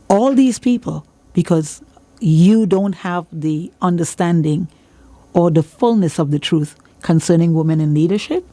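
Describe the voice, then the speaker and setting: A woman's voice: lowish voice, mellow, no room sound. A woman with a Trinidadian accent speaking as a church leader in an interview, emphatic and repeating phrases for emphasis like a preacher giving testimony.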